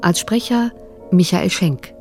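A woman announcing the closing credits of a radio play in a slow, deliberate reading. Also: fairly low pitch, lively intonation, vocal fry, darkish tone, soft background music.

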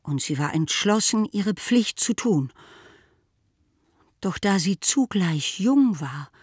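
A woman playing the composed narrator of a period novel in a radio play, telling it in a measured way. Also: mid-range voice, expressive, darkish tone, no room sound. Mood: composed, wry. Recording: studio narration over clear music.

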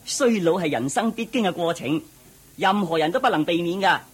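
Loyal cantonese servant driving the prince's chariot, respectful, explains stuff plainly, radio drama. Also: male voice, sits high, expressive, moderate pace, slightly rough. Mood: patient explaining. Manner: deferential, explanatory. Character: prince's loyal, matter-of-fact chariot driver.

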